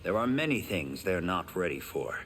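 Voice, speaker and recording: A man's voice: deep voice, big pitch swings, normal speed, heavy background noise. A man voicing a modest, friendly young fighter in a video game, earnest and sincere. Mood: sincere, curious. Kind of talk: video game character acting. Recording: long hall reverb.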